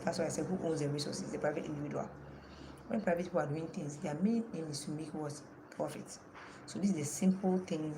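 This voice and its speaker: A woman's voice: sits low, noisy background. A woman teaching an online class with a strained voice, asking her students questions and repeating the key terms.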